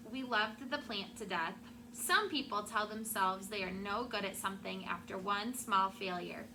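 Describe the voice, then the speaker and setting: A woman teacher reading a picture book aloud to her class in an expressive storytelling way, with asides to the children. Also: medium pitch. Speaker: elementary school teacher. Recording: clearly noisy classroom recording.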